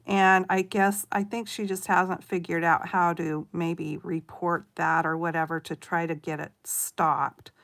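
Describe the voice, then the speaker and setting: A woman's voice: fairly low pitch, flat delivery, a little slow, hardly any pauses, fairly bright tone. A middle-aged woman from Oregon, chatting casually with live viewers as a craft channel host, rambling and giggling often. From Oregon, USA.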